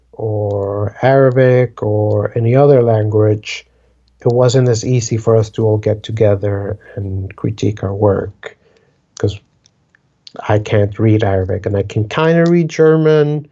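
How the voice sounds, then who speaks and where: A man's voice: lowish voice, unhurried, warm tone, dull audio. A man in his twenties from the Dominican Republic, chatting casually and rambling with many fillers like "like" and "um". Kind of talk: casual conversation.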